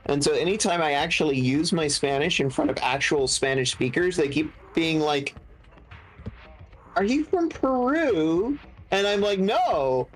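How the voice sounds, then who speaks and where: A man in his forties chatting casually and telling anecdotes with animated quoted voices. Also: fairly high pitch, unhurried. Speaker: podcast guest. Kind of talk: casual chat.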